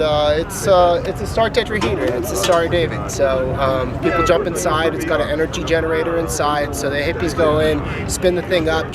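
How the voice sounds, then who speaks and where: A man informally and breezily explaining his work to camera outdoors, with enthusiasm. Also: fairly high pitch. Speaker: art installation creator. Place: outdoors at a busy festival. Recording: distant mic amid loud outdoor crowd.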